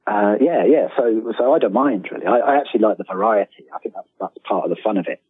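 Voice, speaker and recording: A man's voice: lowish voice, quick pace, breathy edge. A man talking casually as an interview guest, rambling and self-deprecating, often restarting his sentences. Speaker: interview guest, freelance writer. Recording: tinny phone-like line with room echo.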